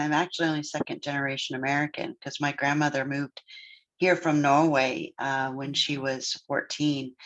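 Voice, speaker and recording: Measured therapist co-host, lo-fi sound. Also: medium pitch, fairly bright tone. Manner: reflective, measured, frequent "uh" and "um". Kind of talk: casual podcast discussion. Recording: dull, lo-fi sound.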